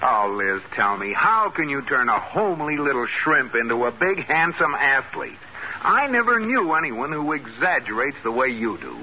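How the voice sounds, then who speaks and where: An actor playing a teasing, exasperated young husband with an emphatic old radio sitcom delivery, on a muffled vintage recording. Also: male voice, mid-range voice, very animated, normal speed, lightly acted. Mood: mocking. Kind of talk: 1950s radio sitcom acting. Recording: muffled noisy radio recording, studio audience.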